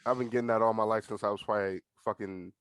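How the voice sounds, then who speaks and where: A man's voice: fairly low pitch, steady tone, quick pace, a bit bright, smooth, no room sound. An eighteen-year-old man with an American accent, chatting casually as a podcast guest in a laid-back, slangy, sweary way. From United States. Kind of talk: casual chat. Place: bedroom at home.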